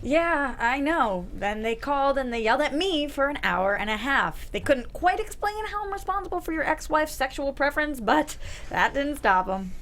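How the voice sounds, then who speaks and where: A woman's voice: sits high, very animated, moderate pace, fairly bright tone, slight background noise. A woman reading an exasperated, wry young single woman in a sitcom table read. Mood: sarcastic.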